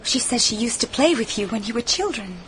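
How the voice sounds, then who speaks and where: A woman playing a sweet, innocent young society fiancée in a period audio drama, gentle and polite. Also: fairly high pitch, expressive, brisk, a crowd in the background, close to an everyday voice, rough recording. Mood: sweet, affectionate. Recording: music behind the voice.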